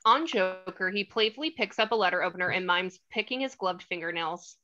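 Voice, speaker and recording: A woman's voice: on the low side, expressive, a little fast, bright, forceful tone. A woman reading stage directions as the narrator of a screenplay table read. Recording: slightly dull lo-fi audio.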